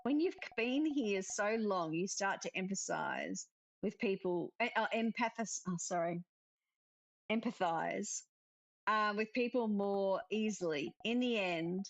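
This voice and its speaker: A woman's voice: medium pitch, rough recording. A woman reading visual novel dialogue aloud expressively, with casual commentary of her own. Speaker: let's-player narrator.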